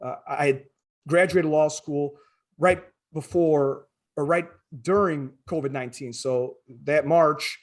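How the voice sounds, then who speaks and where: Podcast guest: American male, fairly high register, conversational, halting delivery with repeated words. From Chicago area, born in former Yugoslavia. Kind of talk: conversational interview.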